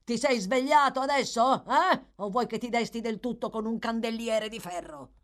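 A woman voicing a loud, hoarse, boisterous male burglar in an audiobook, shouting orders with mocking politeness and jovial menace. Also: medium pitch, big pitch swings, unhurried. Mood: bellowing, impatient. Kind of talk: audiobook character voice.

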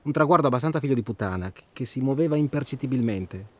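A man's voice: mid-range voice, expressive, lightly acted. Actor playing an irritable, defensive married man. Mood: exasperated, wry. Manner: profane, halting, repeats himself. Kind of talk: naturalistic radio drama dialogue.

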